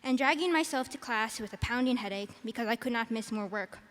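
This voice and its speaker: A soft-spoken teenage girl reads her graduation speech into a podium microphone. Her voice sits high and light. Long reverb from the big arena trails after every phrase. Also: fairly quick. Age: teens. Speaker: salutatorian giving graduation speech. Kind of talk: prepared commencement speech. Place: large arena.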